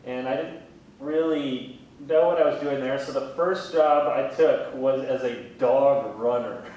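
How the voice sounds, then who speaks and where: A man in his thirties chatting casually in an interview, with a wry, gently self-deprecating way of talking. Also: measured pace, lo-fi sound. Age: 30s. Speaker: museum communications specialist, interviewee. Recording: very echoey room, distant mic, faint rumbling noise.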